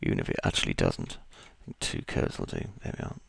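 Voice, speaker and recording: A man with a croaky voice, casually talking through each step of a 3D modeling tutorial as he works. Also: extremely low pitch, completely flat delivery, brisk, a bit bright. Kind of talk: screen-recorded software tutorial.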